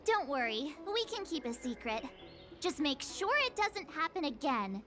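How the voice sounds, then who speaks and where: A woman's voice: high-pitched, sing-song, normal speed, over music. A woman voicing an eager, bubbly young girl who plays amateur detective in a video game. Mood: matter-of-fact. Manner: perky, theatrical.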